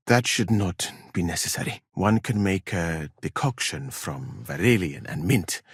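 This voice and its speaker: A man's voice: deep voice, husky, no room sound, clean studio sound, heavily acted. A man playing a proud, exacting medieval physician in a video game, speaking formally and precisely. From Mali. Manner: formal, measured, precise wording. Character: proud, exacting foreign male physician in a medieval war camp. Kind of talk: dramatic video game dialogue.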